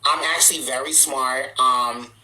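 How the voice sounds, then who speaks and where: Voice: mid-range voice, steady tone, measured pace, sharp tone, echoey room, rough recording. A livestream host, born in Trinidad and raised in Boston, talking bluntly and sassily to viewers. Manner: blunt, sassy, outspoken. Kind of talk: livestream rant answering viewers.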